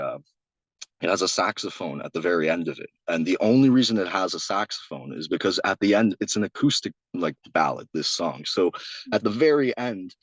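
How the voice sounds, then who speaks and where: Low-voiced young man, loud, rambling. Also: no echo. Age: young adult. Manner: rambling, many restarts and "like". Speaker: singer-songwriter guest. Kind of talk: casual interview chat.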